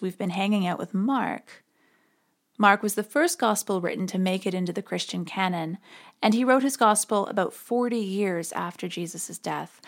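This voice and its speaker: A woman's voice: medium pitch, vocal fry. Woman podcaster explaining religious stuff, informal, like a chatty script. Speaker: podcast host. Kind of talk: conversational scripted religious commentary.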